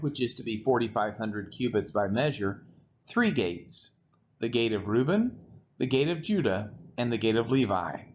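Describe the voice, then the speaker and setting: A man reading scripture aloud from the text in measured, separate phrases. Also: medium pitch. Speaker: scripture reader. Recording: muffled lo-fi audio with background noise.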